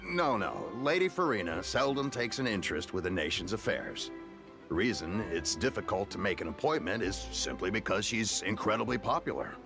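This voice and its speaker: A man voicing an easygoing, chatty townsman with a relaxed, joking manner, with game music underneath. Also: fairly low pitch, moderate pace, vocal fry. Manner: relaxed, jokey.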